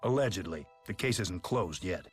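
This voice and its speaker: An actor playing a sardonic, mock-polite police detective in a video game, smug and theatrical. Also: male voice, deep voice, animated, moderate pace, soft background music. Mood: smug, taunting. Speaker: detective character. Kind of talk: English video game dub acting.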